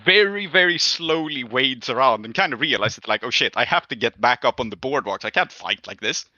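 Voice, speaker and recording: A man with a very bright, keen voice runs a tabletop game, explaining rulings conversationally. His pitch moves animatedly. The recording is dry and slightly dull. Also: lively intonation, a little fast, dry recording. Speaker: game master. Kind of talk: narrating and adjudicating a tabletop game, casual.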